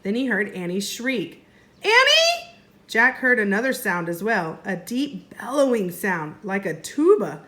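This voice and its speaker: A woman, a first grade teacher, reading a storybook aloud expressively and deliberately for young children. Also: medium pitch, lively intonation, fairly bright tone.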